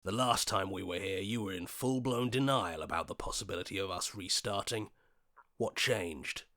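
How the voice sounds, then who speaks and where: Low, gravelly, level-headed game hero. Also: male voice, moderate pace, pushed and bright. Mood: questioning, suspicious. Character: level-headed, questioning male hero voice in the player's head. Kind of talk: video game voice acting.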